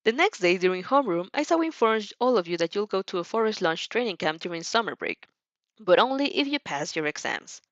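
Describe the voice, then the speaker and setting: A woman narrates a story in a theatrical, expressive way, with pitch that swings widely. She sometimes trips over a word. The recording is dry and a little muffled. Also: fairly quick, no room sound. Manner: theatrical, stumbles over words. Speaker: fan fiction reader and host.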